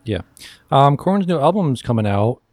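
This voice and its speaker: A man's voice: medium pitch, very animated, normal speed, darkish tone, no room sound. A man in his thirties chatting casually as a podcast co-host. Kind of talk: casual chat.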